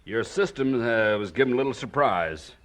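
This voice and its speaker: A calm small-town sheriff acted by a man, with a mid-range male voice. He is measured and authoritative, showing concern, in old radio drama style. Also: medium pitch, close to an everyday voice. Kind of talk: 1970s radio drama acting.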